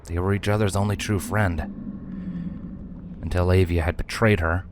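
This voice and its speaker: A man reading scripted dramatic story narration in a solemn, deliberate manner. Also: deep voice, fairly even intonation, background noise.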